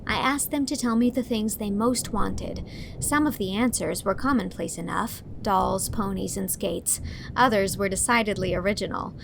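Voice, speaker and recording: Woman playing a young schoolteacher, slightly creaky voice. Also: mid-range voice, normal speed, noisy background, natural voice. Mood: fond, amused. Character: amused, articulate young female schoolteacher writing to friend. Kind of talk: scripted dramatised letter narration.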